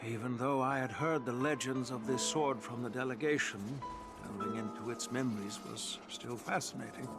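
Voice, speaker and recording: A man acts out a courteous official in a video game, composed and thoughtful. His formal voice sits at a medium pitch. Music plays clearly behind him. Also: normal speed, lightly acted. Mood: composed, interested. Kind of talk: scripted game voice acting.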